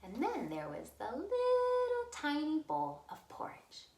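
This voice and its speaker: Female children's storyteller, very expressive melodic swings, unhurried, recorded in a roomy space. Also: high-pitched. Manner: simple, deliberate phrasing addressed to small children. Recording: roomy, echoey room sound.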